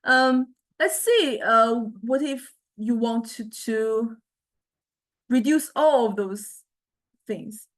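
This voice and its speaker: A woman giving a step-by-step software tutorial as a lecturer, hesitant and halting, often checking in with listeners. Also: a little high, animated. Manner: hesitant, halting delivery with repeated check-ins.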